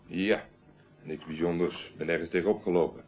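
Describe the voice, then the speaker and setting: A man's voice: low voice, steady tone, moderate pace, husky. A man acting in a radio play as a stubborn, evasive investigator, answering curtly and dryly. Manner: curt, dry, stubborn. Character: defiant, evasive male amateur investigator under police questioning. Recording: muffled, narrow-band old radio recording.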